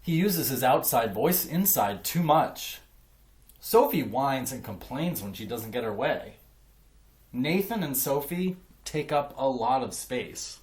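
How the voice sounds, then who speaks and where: A man reading a children's picture book aloud in an expressive, emphatic way, like a teacher. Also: medium pitch, slow pace. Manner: emphatic, acts out dialogue. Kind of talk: expressive children's storybook narration.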